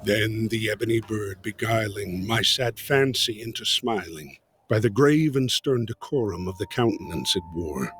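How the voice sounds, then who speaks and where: Man, low voice, slow theatrical poetry reading, brooding, soft music under it. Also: expressive, breathy edge. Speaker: reader.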